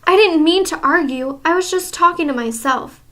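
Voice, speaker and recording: A woman's voice: very high-pitched, expressive, unhurried, sharp tone, voice pushed up, heavily acted. A woman voicing a frightened female witch who pleads for mercy. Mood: pleading. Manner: pleading, trembling.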